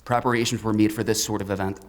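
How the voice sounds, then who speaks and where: Man acting a low-voiced, menacing, mocking villain. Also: little pitch movement, natural voice. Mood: cold menace. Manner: theatrical, deliberate, taunting, dropping to whispers. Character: menacing male fanatical hunter, coldly articulate, mocking. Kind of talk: dark fantasy audio drama acting.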